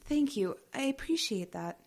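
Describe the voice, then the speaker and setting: A woman's voice: on the high side, sing-song, darkish tone. An actress playing a shaken, earnest young woman new to a radio theater, stammering in naturalistic drama style. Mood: anxious, insistent. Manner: halting, stammering, upset. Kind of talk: naturalistic audio drama acting.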